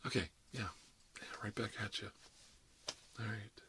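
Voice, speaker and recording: A man's voice: lowish voice, steady tone, unhurried, pushed and bright, slight background noise. A man playing a chatty, friendly dentist in an improvised ASMR roleplay, talking in a hesitant, rambling way that drops into half-whispers. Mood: cheerful, polite. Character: chatty, friendly emergency dentist treating monsters, mildly bigoted. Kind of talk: ASMR roleplay, improvised one-sided conversation.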